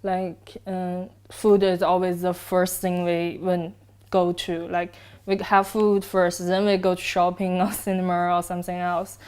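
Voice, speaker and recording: A young woman from China with a smooth, clear voice pitched a little low. She talks hesitantly and fills the pauses with "like" and "uh.". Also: little pitch movement, a little mellow. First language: Chinese. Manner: hesitant, many fillers. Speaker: interviewee. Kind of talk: answering interview questions.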